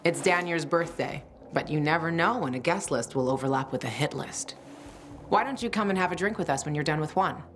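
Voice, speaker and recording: An actress playing a wry, streetwise woman in a video game, talking in a casual, sardonic and unhurried way. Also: sits low, slight background noise, natural voice. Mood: teasing. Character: wry, streetwise woman contact, cool and teasing schemer. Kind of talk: video game dialogue acting.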